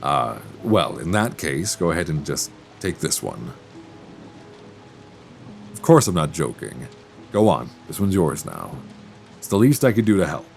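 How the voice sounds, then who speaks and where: A handsome, youngish professor played by a man speaks in a deep, expressive voice, close and intimate. He is kindly and bookish, musing and gently flirtatious, as in an audio roleplay. Faint music sits behind him. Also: a little slow, vocal fry, pauses often, heavily acted. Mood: concerned, insistent. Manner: soft chuckles, reassuring, gently teasing, musing. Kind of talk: intimate audio roleplay, one side of a conversation. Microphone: close binaural microphone.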